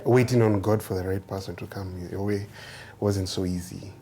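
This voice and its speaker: A Kenyan man with a low, mellow voice shares a personal testimony in an interview setting. He speaks reflectively, often repeating a phrase to stress it. His voice has a gravelly edge, and faint ambient noise sits around it. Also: steady tone, normal speed. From Nairobi, Kenya. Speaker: interviewee, groom, chef and musician.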